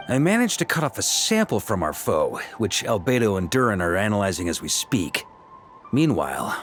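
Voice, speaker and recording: A seasoned knight commander, with a lowish voice that moves freely in pitch. He sounds confident and easygoing, a shrewd game leader with music behind him. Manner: confident, explanatory, conversational. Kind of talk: video game character acting.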